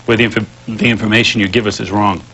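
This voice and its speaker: Lowish-voiced man explaining, dull recording. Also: little pitch movement, quick pace. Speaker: 911 ambulance call-taker, guest. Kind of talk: conversational explanation of procedure. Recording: dull, faintly noisy old tape.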